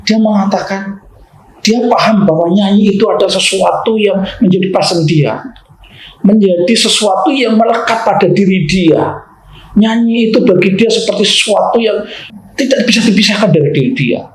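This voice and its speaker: A man's voice: sits high, normal speed. A man giving unscripted opinion commentary in a didactic, emphatic way, repeating phrases and posing rhetorical questions. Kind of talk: unscripted opinion commentary in an explanatory, lecturing style. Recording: roomy, echoing room sound.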